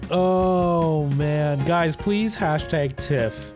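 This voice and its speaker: A man's voice: on the high side, very slow. Casual male streamer over music. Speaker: live streamer and host. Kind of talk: casual livestream chat with viewers.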